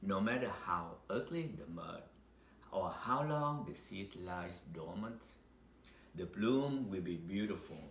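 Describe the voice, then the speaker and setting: Slow, expressive male storybook reader. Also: low-pitched. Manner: expressive, deliberate storytelling with dramatic pauses. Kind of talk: read-aloud children's picture book narration. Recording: roomy echo with faint background noise.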